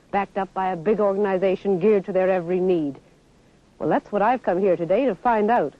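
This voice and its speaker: A woman reporter giving polished, lively scripted newsreel narration and asking interview questions. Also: mid-range voice. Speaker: reporter and presenter. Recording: dull 1960s film soundtrack.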